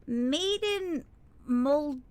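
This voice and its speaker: A woman playing an excitable, loyal young sidekick in an audio drama, exclamatory, dramatic and incredulous. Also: sits high, a little slow, a little hiss or hum.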